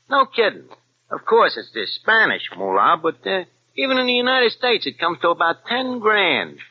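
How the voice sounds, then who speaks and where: An actor playing a boastful, streetwise small-time crook on the run, talking in slangy New speech in a 1940s radio drama. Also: male voice, high voice, a little hiss or hum. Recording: muffled old radio recording with music.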